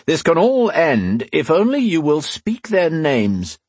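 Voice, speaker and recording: Brief: icy, matter-of-fact male commander, read by a man, slow and pitched up, dry booth sound. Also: huge pitch swings, darkish tone, husky, dry recording, heavily acted. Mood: dismissive. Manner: measured, dismissive, matter-of-fact. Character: cold thin male army commander, calm and bored.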